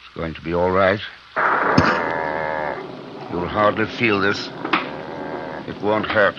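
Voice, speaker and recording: A noisy, muffled vintage radio recording of a man in a horror play. He speaks very slowly in a deep voice, as if coaxing something in the dark. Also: fairly even intonation. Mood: soothing, hushed. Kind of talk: 1940s radio horror drama acting.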